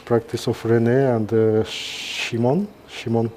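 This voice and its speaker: A middle-aged man with a Kazakh accent gives informal live commentary as a surgeon, halting and pausing mid-sentence. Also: medium pitch, level tone, a little mellow, a little hiss or hum. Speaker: neurosurgeon presenting a live case.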